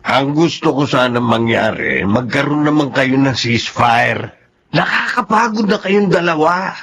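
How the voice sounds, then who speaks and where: A man's voice: mid-range voice, sing-song, unhurried, a bit bright. A man voicing a weary, teasing elderly husband who plays peacemaker in a Filipino audio drama, with a Filipino accent. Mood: weary, pleading.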